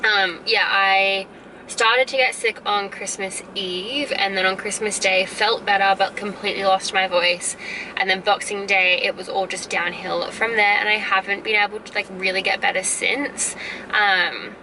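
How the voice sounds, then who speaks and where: A woman's voice: mid-range voice, steady tone, moderate pace, sharp tone, distant mic, slight background noise, low-quality recording. An Australian woman vlogger catching her viewers up in rambling, casual chat, her voice congested from a head cold and cough. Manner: rambling and chatty, full of "um" and "like".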